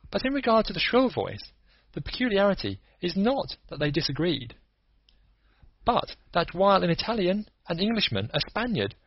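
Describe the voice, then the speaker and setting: Picture a cool, analytical detective who lays out his deductions one methodical point at a time, read by a man. The voice is husky and rises and falls in a lively way. The recording is dull and dry. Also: fairly high pitch, no echo, voice pushed up, heavily acted. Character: cool analytical male detective, lecturing calmly. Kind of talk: audiobook character reading.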